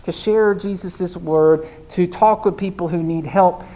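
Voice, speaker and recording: A preacher with a squeaky voice giving a sermon. The delivery is chatty and informal, tossing in quick questions to the congregation. The pitch sits in an ordinary middle range. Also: warm tone. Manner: conversational, keeps asking "right?". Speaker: pastor.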